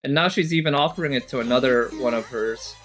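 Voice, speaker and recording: A man's voice: mid-range voice, fast talker, a bit bright, soft background music. A man giving casual, off-the-cuff reaction commentary as a streamer, thinking aloud analytically with casual swearing.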